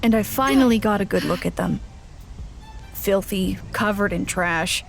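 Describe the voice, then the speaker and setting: A woman's voice: medium pitch, vocal fry, over loud music. A woman playing a small, wary, practical human traveler, narrating and speaking in an audio drama. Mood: wary narration. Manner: hesitant, questioning in dialogue, measured when narrating. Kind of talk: audio drama first-person narration and dialogue.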